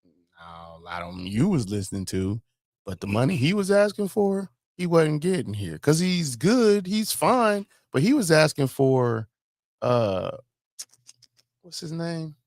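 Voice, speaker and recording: A man's voice: a little high, sing-song, measured pace, darkish tone, no echo, clean studio sound. An American man co-hosting a sports podcast, talking casually and emphatically about football with strong opinions, repeating phrases to drive them home.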